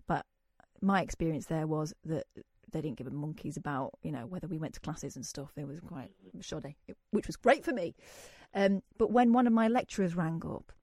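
English woman radio presenter, lively casual storyteller, dry close studio sound. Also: low-pitched, moderate pace, few pauses, no room sound. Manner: chatty, animated storyteller with self-deprecating humor.

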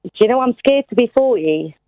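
A woman's voice: a little high, expressive, quick pace, no room sound. A woman in her late thirties rings in to a radio show, talking eagerly and heaping on praise. Her voice comes through a narrow, tinny telephone line. It is dry, with no room sound. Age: 30s. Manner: chatty and effusive. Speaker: caller. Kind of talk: casual phone-in chat.

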